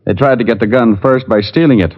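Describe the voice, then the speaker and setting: An actor playing a tough, wry private detective with an American accent and a dry wisecracking manner, in an old radio drama. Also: male voice, medium pitch, fast, lightly acted. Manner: wisecracking, dry, plain-spoken. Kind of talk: 1940s-50s radio drama acting. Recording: dull, lo-fi old radio recording.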